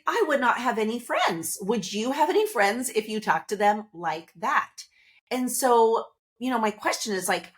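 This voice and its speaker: A woman hosting a podcast, talking to listeners in a casual, upbeat and chatty motivational monologue, seated indoors. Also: mid-range voice. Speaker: podcast host.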